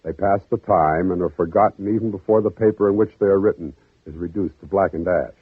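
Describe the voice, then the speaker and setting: A man narrating an old-time radio drama with solemn, measured, deliberate phrasing. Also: deep voice, breathy edge, no echo. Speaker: narrator and program host. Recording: muffled old radio broadcast, faint music.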